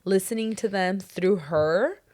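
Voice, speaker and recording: Hoarse, chatty young woman. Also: mid-range voice, measured pace, a little mellow. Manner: chatty, full of "like" and "dude". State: hoarse, voice still recovering. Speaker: podcast co-host. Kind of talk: casual chat.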